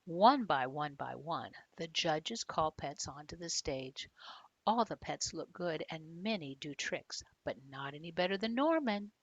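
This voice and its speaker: Woman children's author, storybook read-aloud with chatty asides, lively pitch, dry close sound. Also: no echo, muffled recording. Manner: expressive, dramatic pauses, questioning listeners. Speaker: children's author reading own book aloud.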